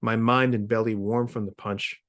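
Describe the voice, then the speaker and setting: A man's voice: on the low side, little pitch movement, moderate pace, fairly bright tone. A man introducing and reading his own short story as its author, in the style of a literary reading. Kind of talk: informal spoken introduction, then literary reading. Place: remote video reading series.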